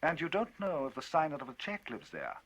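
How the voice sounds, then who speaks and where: A man reading a grave, measured lawyer. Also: fairly high pitch, huge pitch swings, a bit bright, pitched above the performer's own voice, heavily acted. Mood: probing.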